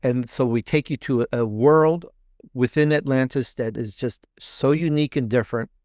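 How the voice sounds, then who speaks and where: A man's voice: mid-range voice, a little mellow, no echo. Man with a very dry, close sound. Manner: halting and repetitive, emphasizes by restating phrases. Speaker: guest author and podcaster. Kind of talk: casual promotional interview talk.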